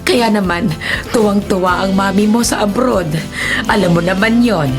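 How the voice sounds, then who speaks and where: A woman's voice: mid-range voice, moderate pace, close to an everyday voice. A woman playing a cheerful, doting older nanny who is full of praise in a Filipino radio drama. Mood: admiring, fond. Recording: music behind the voice.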